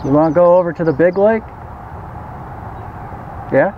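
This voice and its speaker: Man talking to himself while kayak fishing. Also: high voice. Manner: talks to himself and his buddy while fishing, quick exclamations. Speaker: fishing vlogger. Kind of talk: casual running commentary. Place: outdoors on a lake in a kayak. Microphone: action camera on the kayak. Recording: dull action-cam audio with water and wind noise.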